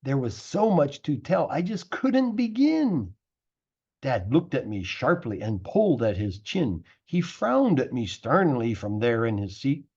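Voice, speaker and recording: A man reading a rhyming picture book aloud as a teacher would, with animated, theatrical delivery and drawn-out words. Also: medium pitch, slightly rough, lo-fi sound. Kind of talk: expressive rhyming storybook narration.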